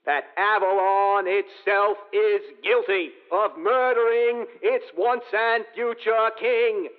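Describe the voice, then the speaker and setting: Radio drama: deposed king, higher-pitched, unhurried, declamatory verse, remorseful and defiant. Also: clear, resonant tone. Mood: defiant, accusing. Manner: declamatory, deliberate. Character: deposed king on trial, contrite yet defiant. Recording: tinny phone-like sound, long hall reverb.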